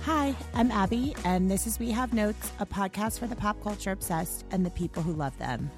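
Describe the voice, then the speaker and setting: A woman hosting a podcast with a scratchy, hoarse voice, gushing and rambling with frequent restarts. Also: medium pitch, expressive, normal speed, over music. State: hoarse, lost voice. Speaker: podcast host. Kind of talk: casual podcast monologue.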